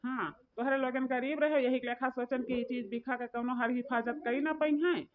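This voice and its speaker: A woman's voice: sits high, steady tone, normal speed, close to an everyday voice. A woman with a rural eastern Uttar Pradesh accent voicing a grieving, indignant village father in a comedy cartoon, lamenting and repeating himself. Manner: lamenting, repetitive. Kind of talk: dialect comedy cartoon dialogue. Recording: phone-like narrow lo-fi audio.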